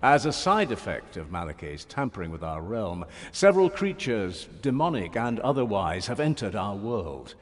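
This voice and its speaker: An actor playing a sly, condescending shadow warrior from another realm in a fantasy game, his voice husky. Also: fairly low pitch, very animated, normal speed, hardly any pauses. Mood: cold, haughty. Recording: long reverb, music behind.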